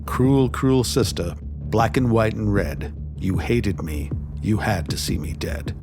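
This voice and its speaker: A man's voice: fairly low pitch, slow. A man hosting a story anthology, delivering a scripted, dramatic introduction. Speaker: anthology host. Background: music.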